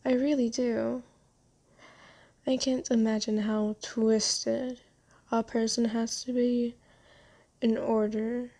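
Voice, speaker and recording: A woman playing a gentle, genteel vampire in an ASMR roleplay, soft-spoken, comforting and unhurried. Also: a little high. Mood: calm, reflective. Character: gentle, soothing, genteel vampire who bought a captive. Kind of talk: ASMR roleplay, scripted character acting.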